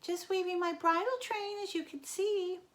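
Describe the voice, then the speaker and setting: A woman voicing a nervous young satyr man who fakes being a bride, speaking in a fluttery, coy falsetto. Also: steady tone, normal speed, fairly bright tone.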